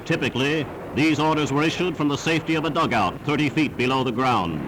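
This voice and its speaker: A man's voice: on the high side, fast, very noisy. A man narrating a scripted 1940s wartime newsreel in a formal, emphatic, declamatory manner, on a vintage soundtrack. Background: orchestral newsreel music.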